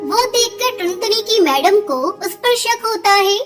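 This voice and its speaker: A woman's voice: very high voice, animated, a little slow, over loud music. A woman narrating a scripted children's story.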